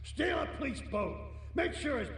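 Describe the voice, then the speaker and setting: An actor playing a jittery, corrupt middle-aged cop in a video game, gravelly, scheming and foul-tempered. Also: male voice, very high-pitched, very animated, normal speed, fairly bright tone, heavily acted. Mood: conspiratorial. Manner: agitated, conspiratorial, streetwise. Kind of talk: video game cutscene acting. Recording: roomy, distant, faint noise.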